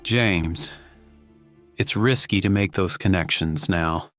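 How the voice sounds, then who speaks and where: An actor playing a protective, loyal husband and friend in an audio drama, speaking with earnest concern. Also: male voice, low voice, slow, sharp tone, faint music behind, heavily acted.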